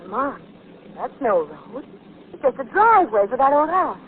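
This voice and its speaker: Worried young heroine of a 1940s radio thriller, high-pitched, heard through heavy noise on an old recording. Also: female voice, big pitch swings, moderate pace, narrow, tinny audio. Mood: uneasy. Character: anxious, lovely young lady companion of a crime fighter. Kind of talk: 1940s radio drama acting.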